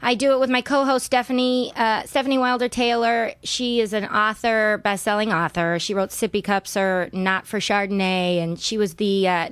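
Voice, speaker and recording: A woman's voice: mid-range voice, smooth, dry recording. A middle-aged woman, guesting on a podcast and telling rambling stories with frequent laughs. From Southern California. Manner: warm, laughing often, rambling storytelling. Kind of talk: casual interview chat.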